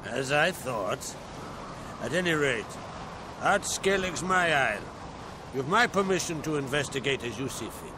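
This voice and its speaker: An actor playing an older island king and father in a video game cutscene, speaking in a steady, measured, paternal way. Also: medium pitch, background noise, close to an everyday voice. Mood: calm, paternal. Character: older jarl, father of two grown children. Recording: game audio with music behind.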